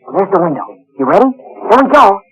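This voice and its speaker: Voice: medium pitch, big pitch swings, brisk, loud music behind the voice, natural voice, rough recording. An actor playing a cocky, impatient boy adventurer in a theatrical drama, blunt and boyish in manner. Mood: eager, businesslike. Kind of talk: theatrical scripted family drama acting.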